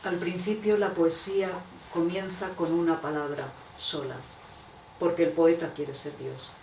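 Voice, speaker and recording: A woman's voice: fairly low pitch, fairly even intonation, a little slow, a little mellow. Woman reading poetry in an echoing room. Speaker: reader of poems in translation. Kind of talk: poetry recitation. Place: bookshop event. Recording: echoey room, distant noisy mic.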